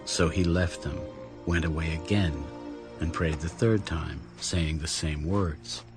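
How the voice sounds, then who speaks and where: A man's voice: a bass voice, steady tone, slow. A man narrating a dramatized audio Bible in a scripted storytelling style. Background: music.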